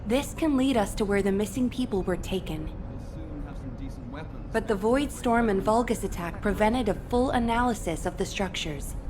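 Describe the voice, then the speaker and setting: A woman's voice: medium pitch, normal speed, natural voice. A woman playing a composed military officer in a video game, giving formal, explanatory briefings. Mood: serious briefing. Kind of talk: scripted video game voice acting. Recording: noisy game ambience behind voice.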